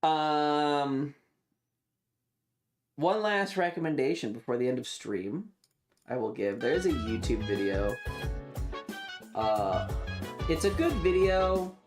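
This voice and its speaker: A man's voice: fairly high pitch, lively intonation, takes it slowly, long pauses, faint music behind. A man chatting casually on a livestream, rambling and swearing with enthusiasm as he reacts and gives his takes.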